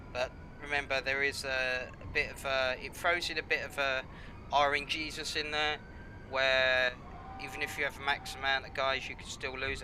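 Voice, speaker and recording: A man's voice: mid-range voice, background noise. A man with a cold and a slightly nasal voice, giving casual, rambling gameplay commentary full of ums and you-knows. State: has a cold, a bit nasal.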